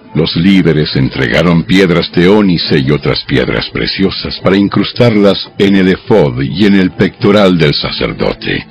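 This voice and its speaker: A man narrating dramatized scripture from a script in a measured storytelling style. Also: deep voice, vocal fry. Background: music.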